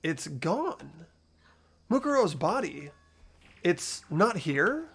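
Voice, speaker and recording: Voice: mid-range voice, big pitch swings, very slow, creaky, husky, exaggerated voice. A player voicing a mild-mannered, hesitant teenage boy detective, reading a video game's dialogue and inner monologue aloud. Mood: curious, thinking aloud. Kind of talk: amateur voice acting and inner monologue of a game script.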